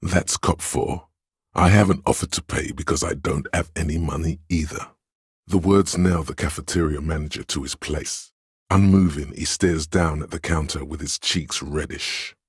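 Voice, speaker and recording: An actor playing the inner voice of authority in a video game, a proud, domineering man speaking with deliberate, imperious command. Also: a bass voice, fairly even intonation, slightly rough, no echo, clean studio sound, full character voice. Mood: stern, appraising. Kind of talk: scripted video game voice acting.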